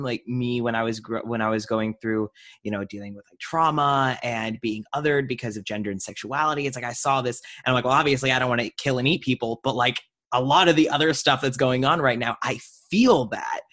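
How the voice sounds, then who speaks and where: A man's voice: medium pitch, brisk, fairly bright tone. A man hosting a podcast in a casual, informal chat, often dropping "like" into sentences. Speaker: podcast host.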